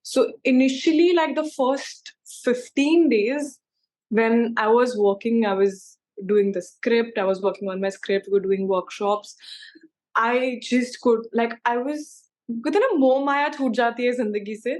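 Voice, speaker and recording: A woman's voice: a little high, a little fast, a little mellow. A young woman with an Indian accent, an actress speaking casually and emphatically in an interview, often using "like" and "you know". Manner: informal, emphatic, peppered with 'like' and 'you know'.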